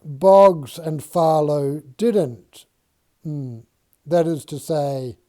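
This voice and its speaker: A man's voice: on the high side, drawn-out words, warm tone. A man voicing a smirking, skeptical surveyor who talks in a dry, needling, old-fashioned formal way. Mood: evasive.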